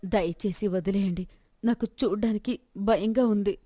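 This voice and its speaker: A woman's voice: medium pitch, steady tone, normal speed, warm tone, natural voice. Woman acting a worried, pleading village wife. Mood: anxious, pleading. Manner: pleading, anxious. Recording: music clearly behind the voice.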